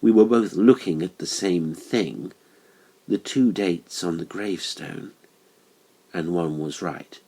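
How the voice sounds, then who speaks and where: Deep, slow, husky male narrator. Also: dark tone. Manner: measured, deliberate. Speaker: audiobook narrator. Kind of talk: dramatic horror story narration.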